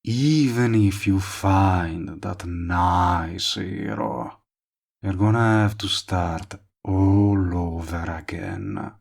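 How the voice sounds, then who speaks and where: A man playing a smug but tender villain in love, speaking intimately to the listener in a romantic audio roleplay, with a low, husky voice. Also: darkish tone, heavily acted. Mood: wistful, awkward. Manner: slow, murmuring, teasing. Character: lovestruck male villain, smug yet tender, persistent suitor. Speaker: voice actor.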